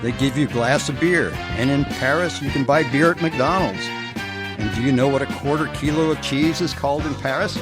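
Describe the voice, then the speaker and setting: A man plays a chatty, know-it-all hitman in a radio comedy. His voice sits at a middle pitch, explaining things in stilted phrases. Guitar music runs clearly behind him. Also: moderate pace, natural voice. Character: chatty, worldly hitman back from Europe.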